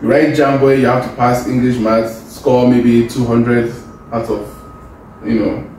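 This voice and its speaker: Male podcast host, animated and curious, recorded a little off-mic in an echoey room. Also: medium pitch, a little slow, distant mic, a little hiss or hum. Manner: animated, questioning, laughs often. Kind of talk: casual group chat.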